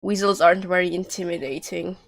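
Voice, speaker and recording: A woman's voice: on the low side, steady tone, a little fast, vocal fry. A woman giving casual, joking and sarcastic livestream commentary as she plays a video game.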